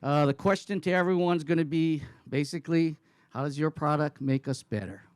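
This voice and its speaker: A man's voice: on the high side, brisk, darkish tone, clean tone, dry recording. A man moderating a live panel at a coaching summit, often stumbling and repeating words as he introduces guests. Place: conference room.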